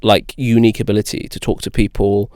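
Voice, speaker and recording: A man co-hosting a podcast who chats in a quick, informal and jokey way. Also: lowish voice, flat delivery, a little mellow, no room sound, studio quality. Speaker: podcast co-host. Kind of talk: casual podcast conversation.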